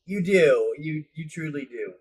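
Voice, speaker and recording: A man's voice: fairly high pitch, expressive, unhurried, darkish tone, breathy edge. A man with a Midwestern American accent hosting a casual late-night chat stream, blunt and joking. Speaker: streamer host.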